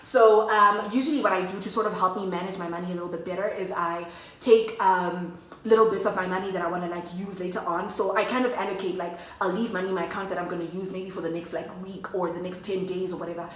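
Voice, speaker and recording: A woman's voice: lowish voice, fairly even intonation, quick pace, a little hiss or hum. Chatty woman, roomy distant sound. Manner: chatty, slangy, addresses viewers as guys. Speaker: vlogger. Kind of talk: casual storytime chat to camera.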